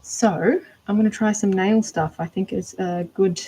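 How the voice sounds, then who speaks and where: A woman's voice: mid-range voice, fairly even intonation, measured pace, mellow, slight background noise. A woman with an Australian accent chatting casually during a livestream, rambling and thinking aloud while she crafts. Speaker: art doll maker.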